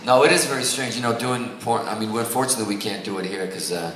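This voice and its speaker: A man's voice: on the low side, fast, recorded from a distance, noisy background. A man in a band answering fan questions at a panel, joking and rambling with restarts. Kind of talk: joking casual panel talk. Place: event hall on a cruise ship. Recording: echoey hall with loud crowd.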